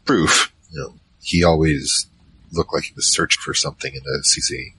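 Audio drama narrator: male actor as easygoing troll mage, extremely low pitch, crisp bright edge, rambling slangy storytelling. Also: fairly even intonation, normal speed, a little hiss or hum, low-quality recording. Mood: wry reminiscing. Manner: casual, rambling, slangy asides. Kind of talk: conversational first-person drama narration.

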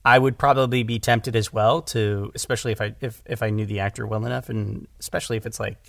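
A man's voice: on the low side, steady tone, fast talker, creaky, no echo. Man talking shop casually, dry close sound. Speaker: podcast guest, audio drama director. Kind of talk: casual conversational discussion.

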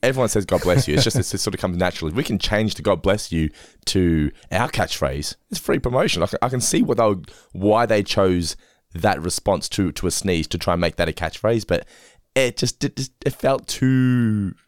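Hoarse low-voiced male podcast host. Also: animated, normal speed, no echo. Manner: chatty, reads sponsor plugs. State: hoarse, lost his voice. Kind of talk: casual podcast chat.

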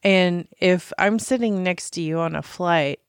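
A woman's voice: on the low side, a little slow, smooth, no room sound, clean studio sound. A woman co-hosting a casual podcast, pushing back on her partner with skeptical teasing. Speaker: podcast co-host.

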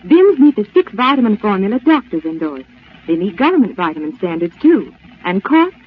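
A chatty, persuasive housewife in an old radio commercial, animated and a little husky. The sound is narrow and tinny like a telephone. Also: female voice, mid-range voice, brisk. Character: chatty, confiding housewife selling vitamins. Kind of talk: 1940s radio advertisement.

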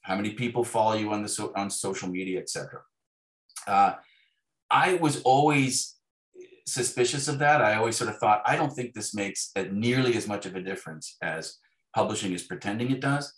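A man's voice: fairly low pitch, fairly quick, fairly bright tone, reverberant, low-quality recording. A middle-aged man speaking as a novelist in an interview, reflective and discursive, with wry self-deprecation.

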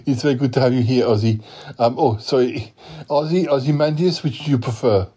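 A man's voice: mid-range voice, fairly quick, warm tone, husky, lightly acted. A man playing a flustered, polite podcast host, hesitant and apologetic, stammering through a whimsical audio story. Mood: nervous, polite.

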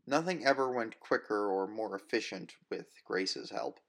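Guy reading an audiobook story, lowish voice, measured n kinda wistful, literary narration. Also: lively intonation, a bit bright. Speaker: audiobook reader. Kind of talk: scripted first-person fiction narration.

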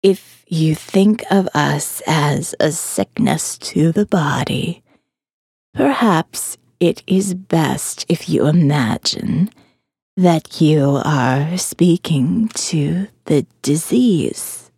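A woman voicing a reanimated female corpse in an audio drama, breathy and halting, with solemn, formal courtesy. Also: sits low, dark tone, no room sound, studio quality, voice pushed down, exaggerated voice. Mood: grave, calm. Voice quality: breathy, whispery, creaking. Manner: slow, halting, stiff-lipped, formal.

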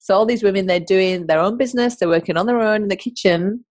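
A woman's voice: medium pitch, fast talker, darkish tone, no echo. Podcast host, woman, English accent, middle-aged, enthusiastic and chatty, dry close sound. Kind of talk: casual podcast monologue.

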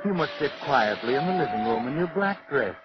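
An actor playing a sardonic, all-knowing narrator in 1940s radio suspense style. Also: male voice, mid-range voice, very animated, fairly quick, lightly acted. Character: sardonic, omniscient male mystery narrator addressing the villainess. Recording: muffled vintage radio with loud music.

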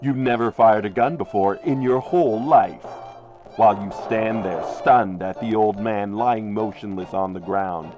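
An actor playing a grave true crime narrator who addresses the killer directly in a dramatic radio style. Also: male voice, on the low side, measured pace, lo-fi sound, close to an everyday voice. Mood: grave, ominous. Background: music.

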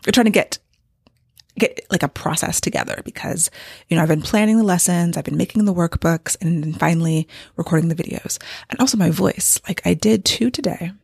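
A woman with a tired, gravelly voice, pitched a bit low and husky from strain, rambles candidly through a writing update. She talks casually at home, and the sound is close and dry. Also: fairly quick, darkish tone, dry recording. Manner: candid and rambling, with light laughs. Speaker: novelist giving a writing update. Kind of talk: casual vlog monologue. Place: home with hardwood floors.

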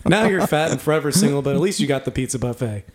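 A man's voice: a little high, animated, quick pace. Dry sarcastic man. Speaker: podcast co-host, band member. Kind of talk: casual chat, reading listings aloud.

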